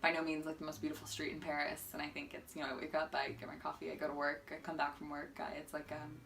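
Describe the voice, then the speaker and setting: Fast-talking low-voiced young woman. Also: monotone, gravelly, a bit bright, a little hiss or hum. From Washington, D.C. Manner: chatty, with many filler "like"s. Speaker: interviewee. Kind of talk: casual interview answers.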